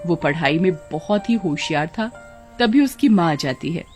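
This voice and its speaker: A woman's voice: on the low side, lively intonation, normal speed, darkish tone, soft background music. A woman giving scripted narration for a children's cartoon story.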